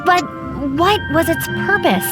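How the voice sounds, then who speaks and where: A woman's voice: very high voice, animated, a little slow. A woman voicing an earnest, worried young girl in a video game, with a questioning manner. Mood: confused, worried. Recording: loud game music behind.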